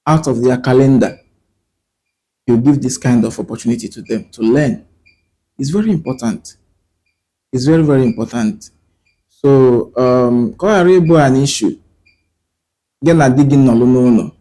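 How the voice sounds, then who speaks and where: A man's voice: mid-range voice, dark tone. A man with a Nigerian Igbo accent, teaching as a language host, speaking earnestly, code-switching between English and Igbo and checking in with rhetorical questions. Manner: rhetorical questions and pauses with fillers. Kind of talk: talking to camera about language.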